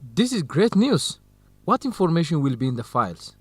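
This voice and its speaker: A curious, supportive young man in a radio drama. His expressive voice moves very freely in pitch and is recorded dry and close. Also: normal speed, breathy edge, no echo. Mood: pleased. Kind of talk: educational radio drama acting.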